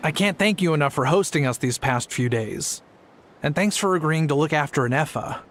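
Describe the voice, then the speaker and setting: A man's voice: on the high side, animated, brisk, slight background noise, close to an everyday voice. A man playing a grateful, polite father in a video game.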